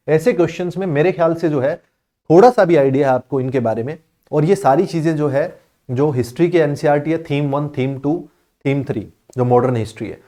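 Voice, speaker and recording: Man teaching with a mellow voice. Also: a little high. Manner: reads English question text slowly, explains in Hindi with frequent "theek hai na". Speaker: exam coaching teacher. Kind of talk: explaining exam questions.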